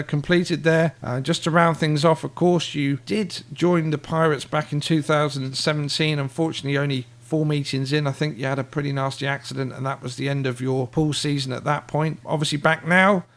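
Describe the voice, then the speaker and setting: A man hosting an interview, reading out fan questions in an upbeat, friendly way. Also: a little high.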